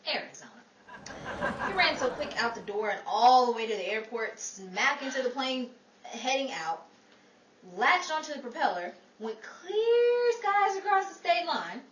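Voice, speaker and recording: A woman acting as a quick-tongued, wry wife in a 1940s radio comedy. Her bright voice leaps around in pitch as she wisecracks. The recording is echoey. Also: big pitch swings, exaggerated voice. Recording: echoey, distant stage recording, faint noise.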